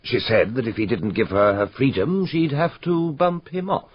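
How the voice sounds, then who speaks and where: An actor playing an earnest, agitated young gentleman with an emotive, insistent manner in a radio drama. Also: medium pitch, normal speed, slightly rough, natural voice. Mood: confiding. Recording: slightly muffled, roomy radio drama.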